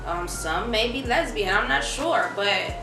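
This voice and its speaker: A woman from Ohio, a rapper and radio personality with a high, girlish baby voice, chatting casually in streetwise slang with lots of fillers. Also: lively intonation, measured pace, very echoey, far from the mic, over music. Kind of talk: casual interview chat.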